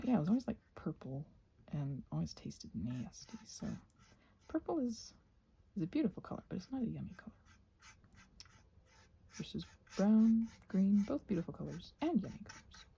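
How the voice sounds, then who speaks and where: A woman with a soft, breathy voice, often close to a whisper, musing aloud in a playful, whimsical ASMR ramble as she crafts. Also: fairly low pitch, very animated, moderate pace, long pauses.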